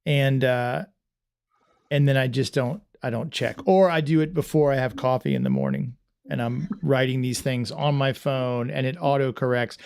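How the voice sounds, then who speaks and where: A man's voice: medium pitch, lively intonation, moderate pace, creaky, darkish tone, clean tone. A man hosting a casual livestream podcast, rambling and self-deprecating with lots of restarts. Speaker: podcast host.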